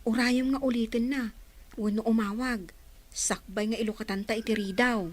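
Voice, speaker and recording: A woman's voice: on the high side, steady tone, moderate pace, lightly acted. A woman playing a cautious aunt minding a child in an audio drama. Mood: wary.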